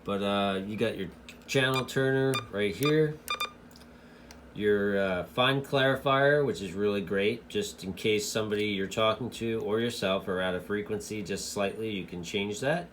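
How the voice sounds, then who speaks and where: A man giving a casual, hands-on product review in a halting way, as a hobbyist. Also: on the low side, fairly bright tone, slight background noise. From United States.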